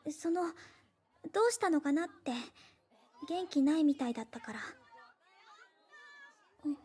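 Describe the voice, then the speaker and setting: A woman playing an earnest, gentle teenage schoolgirl in an anime game, soft and hesitant. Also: high voice, fast talker, close to an everyday voice. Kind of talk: Japanese anime game voice acting.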